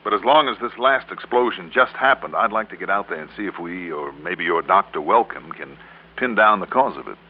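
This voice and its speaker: Tough, clipped American private investigator narrating a vintage radio drama. Lowish voice, thin band-limited sound. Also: male voice, lively intonation, brisk, slightly rough, phone-quality sound. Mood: businesslike. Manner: clipped, wry, hard-boiled. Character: cool tough insurance investigator narrating his case. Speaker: lead actor and narrator. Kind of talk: classic American radio drama acting, first-person narration.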